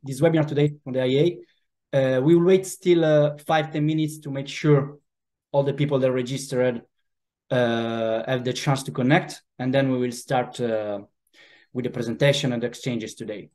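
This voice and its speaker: A man with an Italian accent hosts an online webinar in a relaxed way, pausing often with "uh" fillers. He speaks over a video call from a home office. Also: mid-range voice. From Italy. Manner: relaxed, hesitant, with frequent "uh" fillers. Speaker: webinar host.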